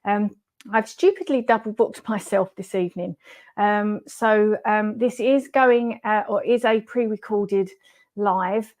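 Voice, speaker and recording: A woman's voice: mid-range voice, fairly even intonation, unhurried, a little mellow. Nasal middle-aged woman with a cold. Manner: chatty, many fillers. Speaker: wellness coach. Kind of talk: pre-recorded live video talk.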